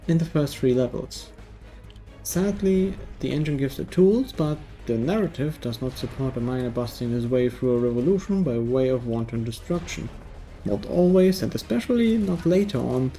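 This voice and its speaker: A man's voice: medium pitch, dark tone. A man narrating a scripted video game review in a wry, tongue-in-cheek way.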